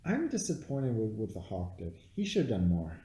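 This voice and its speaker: A man's voice: lowish voice, huge pitch swings, normal speed, dark tone, a little hiss or hum. A man co-hosting a casual film review, retelling loosely and animatedly with free swearing, recorded in an echoey room. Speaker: podcast co-host.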